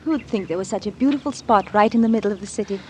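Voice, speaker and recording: A woman acts a lonely, genteel young wife in vintage radio drama. She speaks politely and hesitantly at a middle pitch. There is faint noise in the old recording. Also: fast talker, natural voice. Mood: playful, fond.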